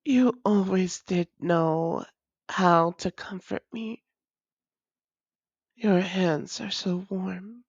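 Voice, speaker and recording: A tender vampire woman, acted by a female performer speaks to her long-lost love in an intimate audio roleplay. Her voice is low and very slow, with old-fashioned, formal wording that often softens toward whispering. The recording is a little dull and muffled. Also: no echo, heavily acted. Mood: tender, wistful.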